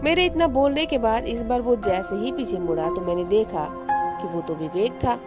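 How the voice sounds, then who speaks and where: A woman telling a first-person horror story, dramatic, rising with suspense and dropping to a hush in tense moments. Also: a little high, lively intonation, normal speed. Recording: music bed under the voice.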